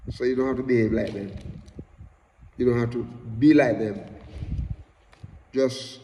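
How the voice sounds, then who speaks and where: A middle-aged man with a Jamaican accent preaching a sermon in a slow, emphatic way, repeating refrains and rising in bursts. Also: mid-range voice, steady tone, mellow, a little hiss or hum. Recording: long hall reverb.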